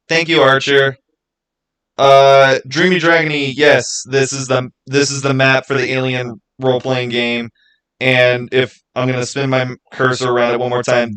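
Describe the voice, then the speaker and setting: A man from the Americas talks casually on a gaming livestream. His voice sits at an average male pitch. He replies to viewers in a loose, halting way, often restarting his sentences as he explains. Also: a bit bright, poor audio. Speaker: live streamer and gaming YouTuber. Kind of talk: casual livestream chat, answering viewer comments.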